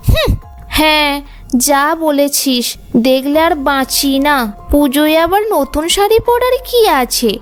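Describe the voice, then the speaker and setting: A woman's voice: sits high, animated, a little slow, soft background music. A woman playing a scornful, complaining village gossip in a cartoon. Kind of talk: Bengali cartoon voice acting.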